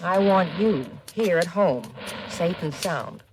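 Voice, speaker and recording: Radio-play wife: low-toned, affectionate, coaxing, noisy vintage recording. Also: female voice, animated, slow. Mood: tender, coaxing. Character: doting, coaxing wife fussing over her man. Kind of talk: 1950s American radio drama acting.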